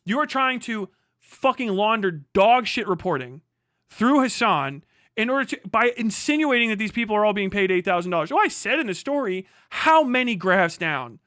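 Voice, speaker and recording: A man's voice: high-pitched, animated, normal speed, bright, forceful tone, smooth, dry recording, studio quality. A man livestreaming an unscripted reaction rant, swearing often and repeating his points with indignant emphasis. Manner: profane, indignant, emphatic, repetitive. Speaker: livestream commentator.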